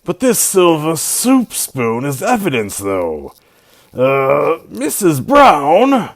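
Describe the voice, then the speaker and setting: A man playing an authoritative, methodical police sergeant in an old-time radio drama, questioning deliberately. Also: on the high side, wildly swooping pitch, vocal fry, heavily acted. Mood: probing. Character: brisk, methodical male police homicide sergeant. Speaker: actor.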